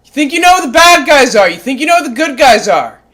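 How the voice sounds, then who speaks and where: A performer voicing a short-tempered, foul-mouthed cat who reads a spiritual book aloud, going from calm, measured reading to yelled, swearing rants. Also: sits high, exaggerated voice. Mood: ranting.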